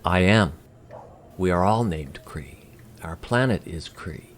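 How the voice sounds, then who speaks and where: A man playing a courteous, calm alien emissary in an audio drama, speaking formally and with measured politeness. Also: low voice, a little hiss or hum.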